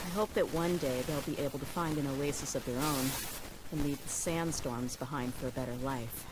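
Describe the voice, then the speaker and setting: A woman voicing an earnest, steadfast desert warrior in a fantasy game, speaking in a measured, reflective way. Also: deep voice, noisy background, lightly acted. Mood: calm, reflective. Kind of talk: scripted game character dialogue.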